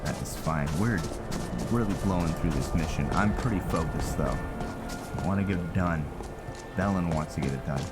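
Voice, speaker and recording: A man's voice: low-pitched, normal speed. A man giving casual, laid-back commentary while playing and roleplaying in a video game. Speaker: gamer, streamer. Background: loud game music.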